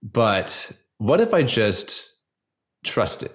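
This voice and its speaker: Male podcast guest with a low voice, casual and informal, feeling his way through a personal story. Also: big pitch swings, extremely slow.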